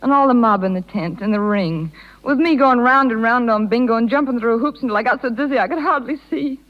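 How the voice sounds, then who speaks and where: Actress as an earnest high-voiced young circus girl, old radio. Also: a little fast, lightly acted. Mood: anguished, wistful. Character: young circus rider woman, tender, earnest, self-sacrificing. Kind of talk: 1930s radio drama acting. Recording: muffled 1930s radio broadcast.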